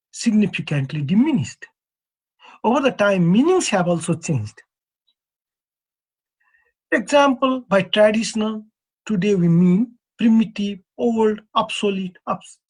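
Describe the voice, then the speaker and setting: Husky Nepali-accented man presenting. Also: high voice, darkish tone, poor audio. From East Nepal. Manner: measured, earnest, poses questions to the audience. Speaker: earthquake engineer presenting at a convention. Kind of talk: prepared conference presentation.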